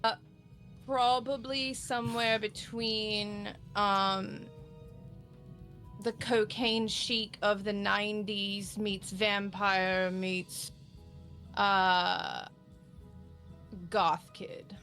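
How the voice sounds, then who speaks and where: A woman with a bright, tense-edged voice introducing her tabletop character. She speaks slowly and haltingly, in a dry way, pausing often between phrases. Faint music plays behind her. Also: medium pitch, little pitch movement. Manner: halting, dry, with pauses and fillers. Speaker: player.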